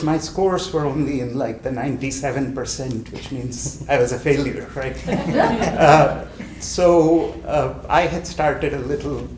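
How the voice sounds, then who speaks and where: A man from India in his late forties, telling his own immigration story at a library community panel in a relaxed, halting way with many fillers and small laughs. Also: medium pitch, roomy sound, background noise. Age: late 40s. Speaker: panel speaker. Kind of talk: personal story at a community event. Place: library event room.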